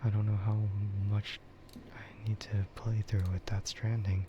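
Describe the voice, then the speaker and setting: A man streaming ASMR in a soft, breathy whisper, chatting casually and unhurriedly in a meandering way that trails off. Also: fairly low pitch, flat delivery, measured pace. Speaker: streamer hosting an ASMR livestream. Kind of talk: ASMR, rambling casual chat about games and anime. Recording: muffled lo-fi sound with clear noise.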